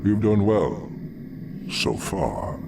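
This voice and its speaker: An actor playing a scornful demonic dreadlord in a fantasy video game, speaking with condescending menace. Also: male voice, sits low, sing-song, drawn-out words, creaky, exaggerated voice. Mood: sneering menace. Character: scornful, huge demonic male dreadlord overseer. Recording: loud noisy ambience behind voice.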